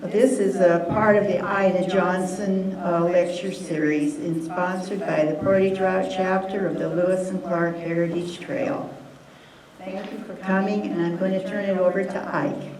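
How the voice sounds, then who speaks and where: A woman's voice: fairly low pitch, fairly even intonation, unhurried, crowd noise. A woman reading a prepared introduction for a guest speaker at a lecture, with a few slips. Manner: reads steadily, with a few slips. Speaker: event organizer introducing the speaker. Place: lecture hall with audience. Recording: echoey room, distant, audience noise.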